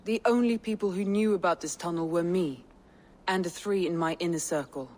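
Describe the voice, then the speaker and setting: A woman voicing a proud, wary Viking clan leader in a video game, with deliberate, solemn delivery. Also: fairly low pitch, darkish tone, slight background noise, close to an everyday voice. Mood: troubled. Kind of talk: cinematic video game acting.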